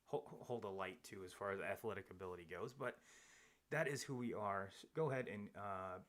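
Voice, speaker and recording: A man's voice: lowish voice, brisk, fairly bright tone. A man hosting a live sports podcast, chatting casually and rambling with many restarts and fillers. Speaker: podcast host. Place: home studio, seated across from co-host.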